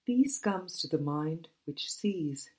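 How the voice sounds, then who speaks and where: A woman reading a spiritual book aloud as scripted narration, in a measured, deliberate manner with pauses between phrases. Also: deep voice, animated, a little mellow, breathy edge. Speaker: audiobook reader.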